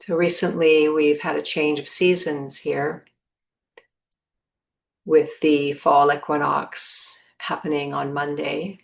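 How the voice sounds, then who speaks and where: A woman leading a guided meditation in a measured manner, pausing between short phrases. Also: low voice, creaky.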